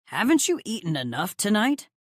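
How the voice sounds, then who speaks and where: A man's voice: high voice, wildly swooping pitch, moderate pace, no echo, clean studio sound. A man playing a playful, apologetic brother in his late thirties in a romance audiobook. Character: thirty-nine-year-old playful, cheeky, apologetic older brother.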